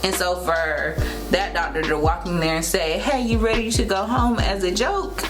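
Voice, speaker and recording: Low-voiced young woman over loud music. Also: normal speed. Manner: candid, emphatic, acts out reported dialogue. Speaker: vlog co-host and new mother. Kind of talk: casual storytelling chat. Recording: loud music under the talk.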